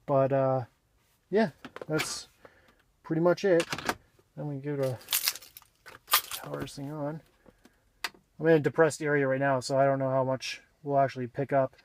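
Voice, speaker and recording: A man giving a casual, unscripted walk-around explanation in a plainspoken, halting way, pausing mid-phrase, recorded on a handheld camera mic around his vehicle. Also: medium pitch, fairly even intonation, noisy background. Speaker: vlogger showing his own vehicle radio install.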